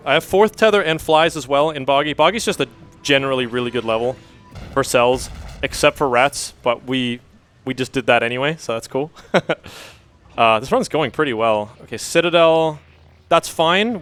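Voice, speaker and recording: A man's voice: fairly high pitch, normal speed, fairly bright tone, faint music behind. A man from Denmark giving casual, chatty live commentary as a speedrunner, with an easygoing manner.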